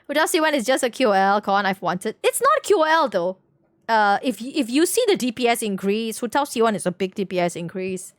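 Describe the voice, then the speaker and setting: A woman with a fairly high voice streams in a casual, chatty style. She rambles in gamer slang and often doubles back on her phrases. The sound is close and fairly dry. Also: sing-song, fairly quick, dry recording. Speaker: game livestreamer. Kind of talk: casual stream chat with viewers.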